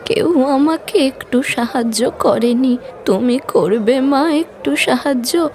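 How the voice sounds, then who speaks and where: Woman putting on a slow, pleading old beggar grandmother. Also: sits high, darkish tone, voice pushed up. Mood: pleading, blessing. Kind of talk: cartoon fairy-tale character voice.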